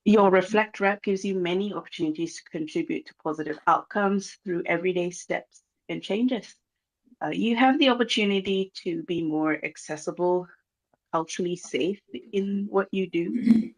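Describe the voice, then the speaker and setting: A speaker from Malaysia with a Malaysian accent, giving an earnest, measured address over a video call, pausing often with um. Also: mid-range voice, rough recording. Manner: earnest, measured, many um pauses. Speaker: guest speaker from a reconciliation organization. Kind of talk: formal address at a launch event.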